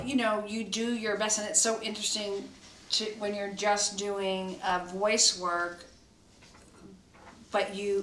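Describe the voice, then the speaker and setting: A woman's voice: medium pitch, pushed and bright. A female voice actor explaining her acting method thoughtfully, starting her answers hesitantly, in a casual cast chat. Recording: roomy sound, mic at a distance, faint room noise.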